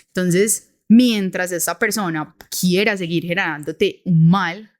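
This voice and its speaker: A woman from Medellín with a Colombian accent, co-hosting a podcast and recounting a story in a relaxed, hesitant way, checking in with her listener. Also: fairly low pitch, unhurried. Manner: hesitant, many restarts and fillers, checks in with "¿sí?". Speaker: podcast co-host. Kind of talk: casual conversational storytelling.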